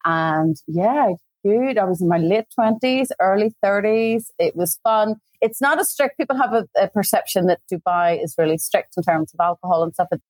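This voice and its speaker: A woman's voice: medium pitch, moderate pace, darkish tone, dry recording. A woman in her forties from Belfast with a Belfast accent, chatting at length as a podcast guest over a video call from home. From Northern Ireland. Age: 40s. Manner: chatty, talks at length with asides. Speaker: guest, tour company founder. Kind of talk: casual conversation.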